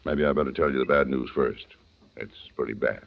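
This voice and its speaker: An American man acting a grave, tactful police lieutenant with measured, hesitant politeness in a 1940s radio drama. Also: rumbling low, vocal fry. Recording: slightly muffled vintage radio recording.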